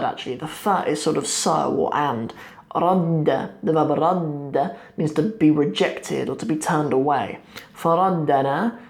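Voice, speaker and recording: A young man in his twenties teaching Arabic poetry line by line, thinking aloud with many pauses and restarts, seated at a desk in a small room. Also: on the high side. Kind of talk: explaining a poem line by line.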